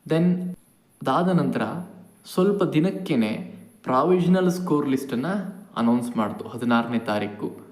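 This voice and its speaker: A man hosts an informal educational update in a roomy, echoing space. His voice sits at a middle pitch. He explains things carefully, repeats key points and drops in English words. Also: animated, unhurried, long pauses, darkish tone. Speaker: educational channel host. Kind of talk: informal exam update addressed to viewers. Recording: echoey room.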